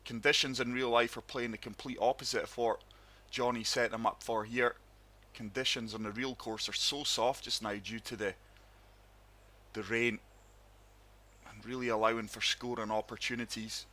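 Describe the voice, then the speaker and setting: A man with a sore throat, hosting his gaming channel and narrating a golf game in calm, steady play-by-play. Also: mid-range voice, moderate pace. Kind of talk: casual gameplay commentary.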